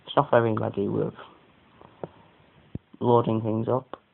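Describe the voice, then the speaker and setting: A man with a croaky voice giving a casual, rambling, off-the-cuff product review as an amateur. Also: level tone, a little slow. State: croaky voice today. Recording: muffled phone-like sound, faint rumbling noise.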